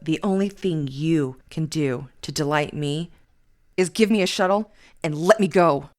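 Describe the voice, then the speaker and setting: A woman's voice: sits low, unhurried. An actress playing a defiant, frightened young woman on the run in an audio drama, dramatic and emphatic. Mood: disgusted, defiant.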